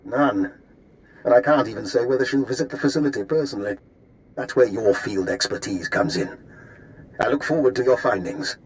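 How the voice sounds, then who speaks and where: An actor playing a measured, cerebral intelligence operative in a video game, speaking in a dry, deliberate, formal manner. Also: male voice, mid-range voice, lightly acted, poor audio. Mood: businesslike.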